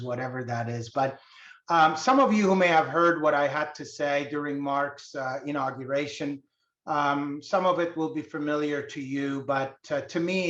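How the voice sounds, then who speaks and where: A man's voice: a little high, fairly bright tone, roomy sound, distant mic, highs cut off. A man speaking as a guest, opening a talk in a friendly, hesitant way with many fillers, heard over a video call.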